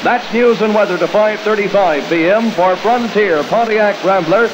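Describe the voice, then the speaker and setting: High-pitched American radio newsman, noisy old broadcast. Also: moderate pace. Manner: formal announcer delivery. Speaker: radio newscaster. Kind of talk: scripted radio news and weather bulletin. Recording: noisy 1960s AM radio aircheck.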